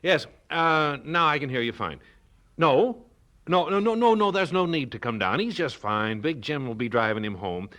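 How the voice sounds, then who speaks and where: A man's voice: fairly high pitch, very animated, normal speed, a bit bright. An American man playing a courteous, reassuring, slightly flustered small-town doctor in a radio drama. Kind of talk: 1970s radio drama acting.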